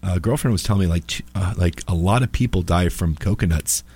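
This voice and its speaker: Slightly raspy, low-voiced man hosting radio talk. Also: brisk, darkish tone, no room sound. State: a little under the weather with allergies. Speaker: attorney hosting a live radio call-in show. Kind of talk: casual live radio chat. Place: radio studio.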